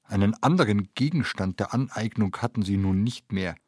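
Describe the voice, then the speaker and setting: A man's voice: on the low side, moderate pace, darkish tone, breathy edge. A man reading scripted literary narration.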